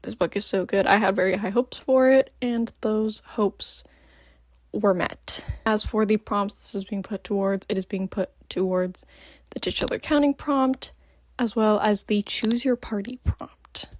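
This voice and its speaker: A woman's voice: medium pitch, a little slow, dry recording. A woman chatting casually in a book review vlog, just woken up, gushing with lots of ums. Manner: gushing, filler-heavy, many ums. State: just woke up. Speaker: book vlogger.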